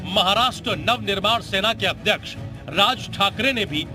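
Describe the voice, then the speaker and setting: A man's voice: sits high, moderate pace, over music. A man reading a scripted news voice-over as a television reporter.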